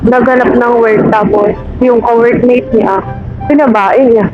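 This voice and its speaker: A woman's voice: on the high side, steady tone, measured pace, music in the background. A Filipino woman talking casually and candidly as a passenger being interviewed on a ride. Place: outdoors on a moving motorcycle. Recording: muffled, loud traffic and engine noise.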